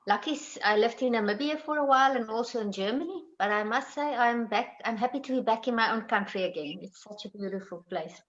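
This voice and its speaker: A woman with a South African accent, a native Afrikaans speaker, talking as a panel guest in a hesitant, chatty way with many pauses. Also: fairly high pitch. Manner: hesitant, many ums, laughs often. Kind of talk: casual conversation. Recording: dull lo-fi call audio.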